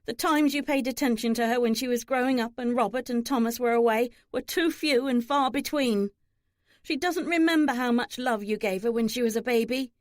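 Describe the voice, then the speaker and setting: A woman's voice: a little high, lively intonation, normal speed, few pauses, no room sound, studio quality, close to an everyday voice. A woman voicing an older female servant in an audiobook novel, fiercely protective, blunt and insistent. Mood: angry, scolding.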